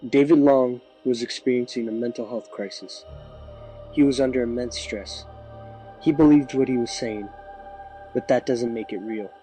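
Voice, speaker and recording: A man's voice: mid-range voice, normal speed, dark tone, close to an everyday voice. A man playing a weary small-town sheriff in an audio drama news interview, firm and emphatic. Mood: firm, dismissive. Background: music.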